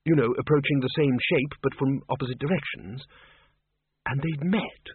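A man voicing a very old undead wizard in an audiobook, musing wryly in a soft, hesitant, thoughtful way. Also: medium pitch, moderate pace, husky, dry recording, phone-quality sound.

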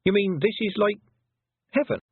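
A sharp, earnest young girl, as a male narrator puts her on in a raised, questioning voice. The sound is husky and tinny, like a phone line, in a dry storybook reading. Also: sits high, lively intonation, moderate pace, no echo, pitched above the performer's own voice.

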